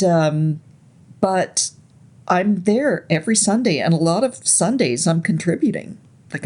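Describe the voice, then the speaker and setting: A woman in her sixties, raised in Canada, recalling her life story slowly and reflectively as an interview guest, often pausing to search for words. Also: on the low side. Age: 60s. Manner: soft, unhurried, searching for words.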